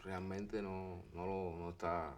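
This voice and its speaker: A man who is a podcast guest, speaking hesitantly and quietly in few words. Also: low voice, flat delivery, slow.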